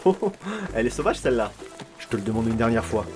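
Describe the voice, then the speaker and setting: A man's voice: mid-range voice, big pitch swings, fast talker, music in the background, close to an everyday voice. A man playing a menacing young student bully in an amateur fantasy audio drama, taunting and insistent. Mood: mocking, menacing.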